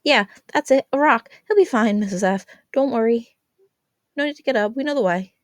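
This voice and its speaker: A woman's voice: a little high, brisk, no room sound, pitched above the performer's own voice, lightly acted. A woman voicing casual teenagers who give breezy, evasive excuses in a fan fiction story. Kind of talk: acted fan fiction reading.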